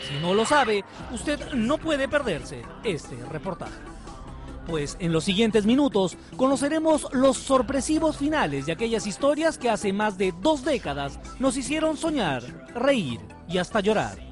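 Male Peruvian TV feature narrator: high-pitched, theatrical and emphatic, with music underneath. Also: big pitch swings, unhurried. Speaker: TV reporter. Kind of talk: dramatic scripted feature narration. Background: music behind the voice.

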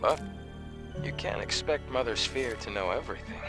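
An actor playing a calm, upbeat young male scout companion in a video game, with a reassuring, conversational manner. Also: mid-range voice, normal speed, close to an everyday voice. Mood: reflective. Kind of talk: video game cutscene voice acting. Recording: background music under the voice.

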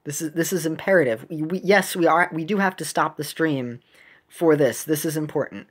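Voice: medium pitch, normal speed, slightly rough. A streamer with a mid-range voice of unclear gender gives casual commentary on a game. The talk rambles and halts, often as if thinking aloud to themselves.

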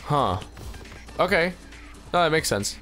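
A man's voice: fairly high pitch, expressive, slow pace, sharp tone, soft background music. Sniffly man laughing through a game. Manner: joking, swears often, laughs a lot. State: sniffly from a cold. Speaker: co-host. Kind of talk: casual gaming chat.

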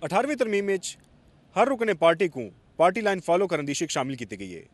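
Fast-talking Pakistani male reporter. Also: sits high, sing-song, dry recording. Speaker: TV reporter and host. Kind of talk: news interview questioning. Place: outdoors, parliament forecourt. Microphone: handheld microphone.

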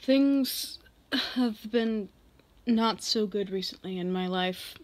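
Slow-talking, reserved female boss acted by a woman. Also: mid-range voice, no echo, natural voice. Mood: confiding, hesitant. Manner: halting, measured delivery with sighs. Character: reserved female office boss, guarded but softening. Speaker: ASMR roleplay performer. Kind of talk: ASMR roleplay, slow intimate acting.

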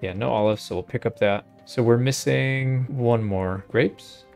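A man's voice: mid-range voice, measured pace, vocal fry, darkish tone, faint music behind. A man giving casual gameplay commentary, thinking aloud in a laid-back way as he hosts a playthrough. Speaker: host of a gaming playthrough.

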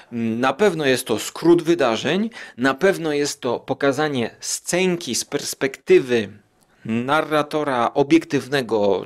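A man hosting a podcast, giving a casual, rambling review and thinking aloud with drawn-out hesitations. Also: medium pitch. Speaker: podcast host. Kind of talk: casual monologue review of radio plays.

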